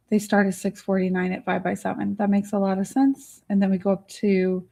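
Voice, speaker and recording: A middle-aged woman with a raspy, hoarse voice, hosting a casual live chat from her home studio on a studio mic. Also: mid-range voice, mellow. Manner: chatty, bubbly, giggly. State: hoarse, worn voice. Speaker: livestream host. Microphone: studio microphone.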